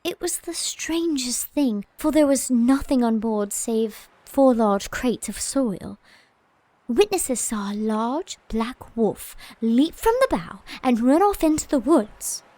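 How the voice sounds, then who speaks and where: An actress playing a blunt, lively young Englishwoman in an audio drama, talking in a playful, breathless way. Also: a little high, slow, dry recording. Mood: uneasy.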